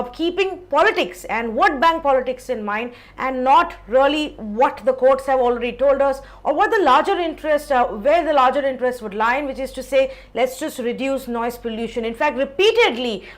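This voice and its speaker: A woman with an Indian accent hosting an explainer interview, speaking articulately in long explanatory sentences. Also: high-pitched. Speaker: host, interviewer. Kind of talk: explainer show presenting.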